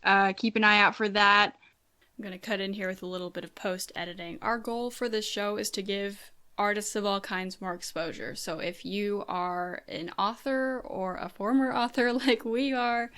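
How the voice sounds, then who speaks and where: A young woman with a bright, forward voice co-hosts a podcast. She talks in a casual, chatty way and is self-deprecating and giggly, with a loose tongue for swearing. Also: medium pitch, clear, resonant tone. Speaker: podcast co-host. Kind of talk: casual chat.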